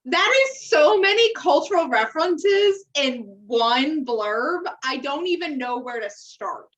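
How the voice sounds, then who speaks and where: A woman's voice: sits high, expressive, a little slow, a bit bright, highs cut off. An American woman co-hosting a podcast in casual chat, with a dry, teasing, sarcastic way of talking. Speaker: podcast co-host. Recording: slightly distant microphone sound.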